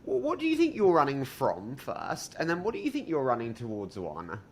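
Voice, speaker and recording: Lively, eager young male podcast host. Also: medium pitch, slight background noise. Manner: enthusiastic, fast questions with restarts and fillers. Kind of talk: podcast interview.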